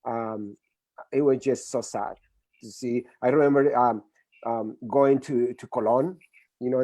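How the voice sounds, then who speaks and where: A man's voice: medium pitch, warm tone, no room sound, poor audio. A middle-aged man from Colombia with a Colombian accent, a Spanish speaker talking English earnestly as a guest expert in an interview, with many restarts. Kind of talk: conversational interview.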